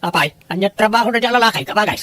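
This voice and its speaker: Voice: mid-range voice, racing, fairly bright tone, no echo, close to an everyday voice. A performer playing a teasing, coaxing adult man in an audio drama, in a conversational manner. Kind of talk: Ilocano audio drama dialogue.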